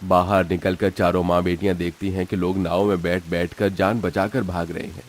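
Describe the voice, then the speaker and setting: A man's voice: low voice, level tone, moderate pace. A man narrating a scripted, dramatic story.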